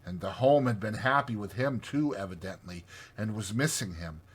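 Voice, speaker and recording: A man narrating a classic storybook in an expressive, theatrical way. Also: lowish voice, normal speed, slightly rough. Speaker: audiobook narrator.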